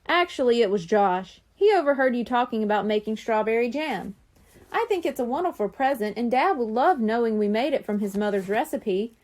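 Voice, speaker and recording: A woman reading aloud while voicing a gentle, organized mother in a children's storybook. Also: on the high side, expressive, a little fast, natural voice. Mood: motherly. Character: warm, organized mother planning a surprise.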